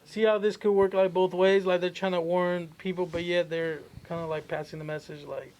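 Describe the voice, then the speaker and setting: A man's voice: high voice, flat delivery, brisk, darkish tone, low-quality recording. A man co-hosting a podcast in casual chat, questioning and arguing his points loosely. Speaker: podcast co-host.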